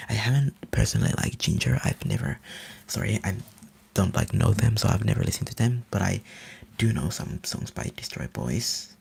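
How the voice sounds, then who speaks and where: A man from Finland, a native Finnish speaker, rambling eagerly in a soft whisper as an ASMR live streamer. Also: lowish voice, vocal fry, husky.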